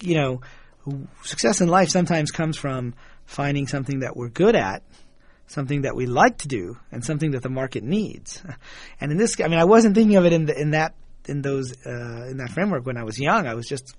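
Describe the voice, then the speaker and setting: A middle-aged man from Michigan, a guest telling reflective stories in a relaxed way, chuckling now and then and repeating words as he starts a thought. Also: mid-range voice, fairly quick, dry recording. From Michigan, USA. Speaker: guest, author and engineer. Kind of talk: reflective conversational storytelling.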